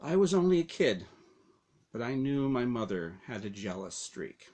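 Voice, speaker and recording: A man reading his own first-person story aloud as narration, with dramatic, deliberate phrasing. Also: medium pitch, very animated, darkish tone.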